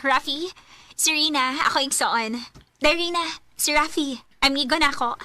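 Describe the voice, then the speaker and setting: A coaxing, protective married big sister in a radio drama. Her voice sits very high with a bright edge, and her talk is familiar and conversational. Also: normal speed. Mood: teasing, persuasive.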